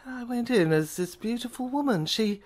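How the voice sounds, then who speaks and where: A woman narrating an audio play in a halting, fragmented, intense first-person dramatic style. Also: a little high, animated, a little mellow.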